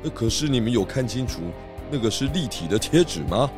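A man voicing a sly, exacting pig detective with a husky voice in a children's radio play. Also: medium pitch, animated, brisk, over music, close to an everyday voice. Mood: probing, knowing.

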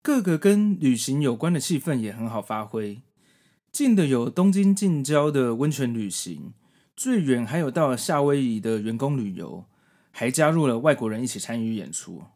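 Male podcast host, forties, Taiwanese Mandarin accent. Conversational reviewer with a very varied, lively pitch. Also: moderate pace. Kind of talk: solo manga review, conversational narration.

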